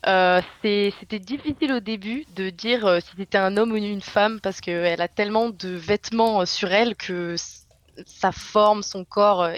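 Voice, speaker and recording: A woman's voice: mid-range voice, no room sound. A woman player hesitantly presenting her tabletop game character, with many fillers.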